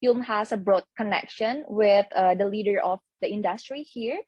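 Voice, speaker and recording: A woman's voice: mid-range voice, moderate pace, mellow, slightly rough, dry recording, lo-fi sound. A young woman from Indonesia, an international student, answering enthusiastically in a webinar, with phrasing. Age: young adult. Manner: enthusiastic, non-native phrasing. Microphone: video call.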